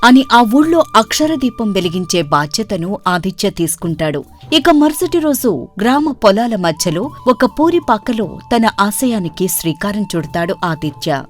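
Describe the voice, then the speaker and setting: Woman narrating a story, medium pitch. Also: sing-song, moderate pace. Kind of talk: scripted moral story narration. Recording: music bed under the voice.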